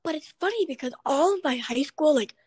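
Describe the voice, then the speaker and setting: A young woman hosting a podcast in a casual chat, with a raspy voice and a chatty, rambling way of talking. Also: sits high, animated, a little fast, darkish tone, no echo. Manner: chatty, rambling, many fillers. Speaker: podcast host.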